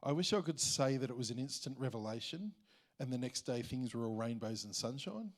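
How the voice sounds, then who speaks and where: A man reading a prepared church testimony in a measured, earnest way. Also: mid-range voice, lively intonation. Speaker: church member sharing testimony.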